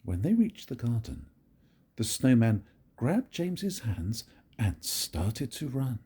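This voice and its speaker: An older man reading a picture book aloud to his grandchildren in a gentle, very expressive storytelling manner. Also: mid-range voice, slow, slightly rough.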